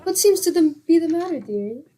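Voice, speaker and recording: An actress playing a patient, good-humored wife with a teasing, exclamatory manner in a 1940s-style radio comedy. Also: female voice, very high-pitched, expressive, fairly quick, music in the background.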